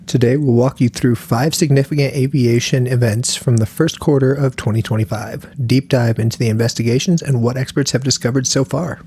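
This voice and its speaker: A man's voice: mid-range voice, fairly even intonation, a little fast, hardly any pauses, mellow, husky. A man co-hosting a podcast, reading scripted lines with a dry, corny sense of humor. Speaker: podcast co-host.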